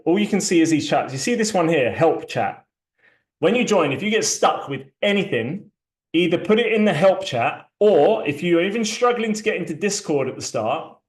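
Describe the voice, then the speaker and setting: Chatty man, echoey room. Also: a little high. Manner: loose and chatty, many fillers, restarts and apologies. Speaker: community founder hosting a launch call. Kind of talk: casual live walkthrough, screen sharing.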